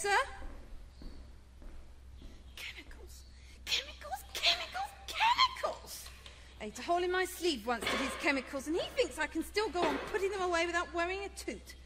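Shrill, put-upon lab cleaner. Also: female voice, sing-song, normal speed. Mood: dutiful. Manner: muttering, complaining. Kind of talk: audio drama acting. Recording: noisy, echoey hall recording.

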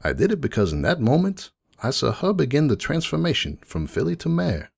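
A man's voice: medium pitch, huge pitch swings, a little fast, creaky, husky, heavily acted. A man voicing a friendly, nostalgic unicorn donut shop owner in a dramatic fan fiction reading, chatty and folksy like someone reminiscing. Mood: fond, reminiscing.

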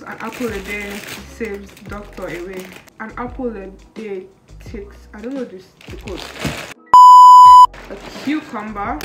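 A young woman chatting casually to camera in a rambling, informal vlog style, recorded indoors at a short distance from the mic. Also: medium pitch. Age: young adult. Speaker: vlogger, university student. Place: indoor student flat kitchen and rooms. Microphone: camera at arm's length or propped nearby. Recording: echoey room, background music.

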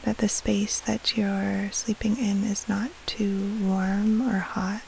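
A woman leading a guided sleep meditation as a yoga nidra teacher, in a hushed, breathy, soothing delivery with long pauses between short phrases. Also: medium pitch, level tone, low-quality recording. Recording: faint hiss and rustle. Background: soft rain-like noise.

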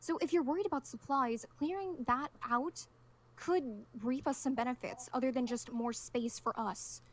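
A woman's voice: sits high, moderate pace, slight background noise, close to an everyday voice. A woman playing a stern, argumentative survivor with formal, clipped speech in a game roleplay. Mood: insistent. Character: stern, defiant young female zombie-apocalypse survivor challenging the leader.

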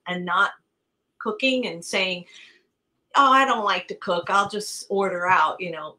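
A woman's voice: medium pitch, sharp tone, rough recording. A middle-aged woman whose first language is Italian, chatting animatedly as a guest on a video call. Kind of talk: casual video call chat.

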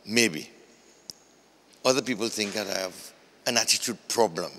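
Casting: older Seychellois man, low bright forceful voice, emphatic, long room echo. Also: normal speed, slight background noise. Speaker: interviewee, politician. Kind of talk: news interview. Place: indoor office room.

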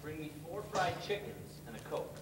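A man's voice: fairly high pitch, lively intonation, normal speed, lightly acted. A man on stage playing a streetwise ex-convict bluesman who speaks bluntly and tersely. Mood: deadpan. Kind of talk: amateur stage play acting. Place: theatre stage, far camera. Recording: echoey distant stage recording, noisy.

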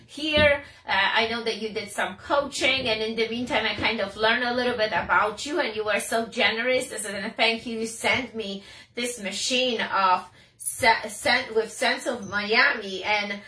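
A woman in her forties with English as a second language, speaking eagerly on a video call from her book-lined office. Her voice is bright and a little high, and the webcam picks her up from a distance in a roomy space. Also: unhurried. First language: not English. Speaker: host. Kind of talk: casual interview. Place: home office with bookshelves, indoors.

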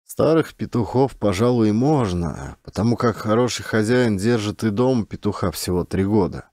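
A simple village huntsman , acted by a male narrator, grumbles good-naturedly in rustic, folksy speech. His voice is low and dark, in the manner of an audiobook drama. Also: expressive, moderate pace, no echo, clean studio sound, natural voice. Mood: gentle musing.

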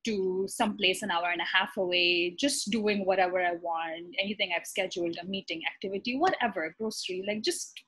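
Husky-voiced young woman on a video call. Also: lowish voice, steady tone, a little fast, fairly bright tone, poor audio. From Pakistan. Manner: thoughtful, many ums and restarts. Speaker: physician guest. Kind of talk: casual conversational interview. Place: bright apartment room, indoors. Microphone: laptop webcam on a video call.

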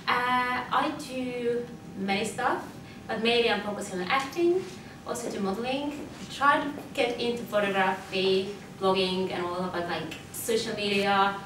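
A woman's voice: medium pitch, moderate pace. Young Estonian-accented woman, chatty guest. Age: young adult. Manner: chatty, many fillers and restarts. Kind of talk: casual interview chat. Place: bare studio room indoors. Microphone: lapel. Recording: echoey room, mic at a distance, noisy.

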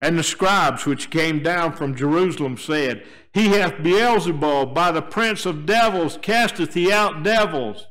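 Older man preaching from a pulpit. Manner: swings between quiet low asides and raised emphatic appeals. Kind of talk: sermon. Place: church sanctuary, standing at a wooden pulpit. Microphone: pulpit microphone, filmed by a fixed camera.

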